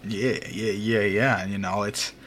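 Young guy hosting a home podcast, bright voice, loose and rambly, faint hum behind. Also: fairly low pitch, steady tone, measured pace. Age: young adult. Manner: loose, rambling, laughs often, swears. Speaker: podcast host. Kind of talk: casual chat. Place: home room with a fan running. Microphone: home mic over a voice call.

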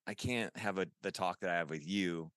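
A man's voice: low voice, brisk, fairly bright tone, no echo, studio quality. A man in his forties chatting casually as a podcast guest, rambling and enthusiastic, often saying "dude". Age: 40s. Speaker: podcast guest, toy artist. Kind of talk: casual chat.

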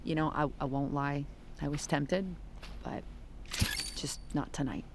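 A woman's voice: sits low, fairly even intonation, measured pace, noisy background. A woman playing a cool, guarded police detective in a naturalistic audio drama. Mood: guarded, reflective.

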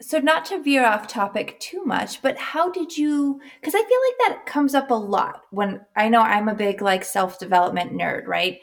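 A woman's voice: on the high side, moderate pace, gravelly, hardly any pauses. A woman hosting a podcast, asking long, rambling questions and chiming in often with short agreements. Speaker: podcast host. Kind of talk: casual interview chat.

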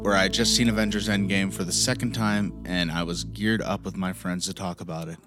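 A man with a raspy voice hosts a podcast intro, rambling with lots of ums and uhs. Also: low-pitched, flat delivery, a little fast, a bit bright, faint music behind. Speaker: podcast host.

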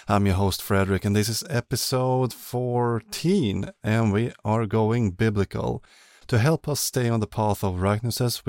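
A man's voice: on the low side, darkish tone, dry recording, studio quality. A man hosting a podcast, reading a lightly joking intro, then interviewing his guest. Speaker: podcast host.

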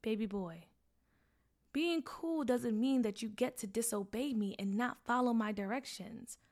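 A stern, protective mother lays down the rules with firm, scolding words, as a woman reads her part. Her voice is slightly high and pointed, sounding both caring and strict as she delivers her lines. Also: measured pace, a little mellow.

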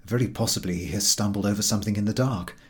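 A man voicing a sensible, calm wife in a storybook reading, composed and matter-of-fact. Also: on the low side, fast, voice pushed down, lightly acted. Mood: soothing.

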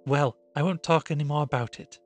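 A man voicing a meek, dreamy, patient country woman with gentle rustic speech in a storybook reading. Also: a little high, sing-song, a little fast, husky, soft background music, pitched above the performer's own voice, heavily acted.

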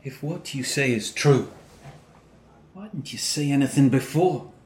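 A man acting out a grieving, middle-aged father who keeps his answers short and stern. His voice has an ordinary mid pitch and echoes in a roomy space with faint noise underneath. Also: darkish tone, natural voice. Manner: measured, stern, clipped. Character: grieving father of a murdered daughter, stern and restrained. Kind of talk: dramatic dialogue acting. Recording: roomy echo.

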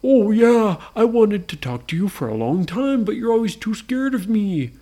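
A woman voicing a big, friendly but firm monster in a children's story, with emphatic, drawn-out words. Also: mid-range voice, sing-song, dark tone, pitched above the performer's own voice. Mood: eager.

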